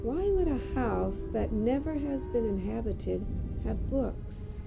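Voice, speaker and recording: A woman's voice: fairly high pitch, animated, takes it slowly, creaky, dark tone, heavily acted. A woman playing a bold but easily rattled female reporter in an audio drama. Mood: puzzled. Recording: music behind the voice.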